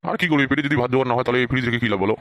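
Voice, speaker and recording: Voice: mid-range voice, rapid-fire, no room sound, natural voice. A performer with a Bengali accent voicing a poor, hot-tempered father who grumbles and scolds in a comic cartoon. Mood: dejected.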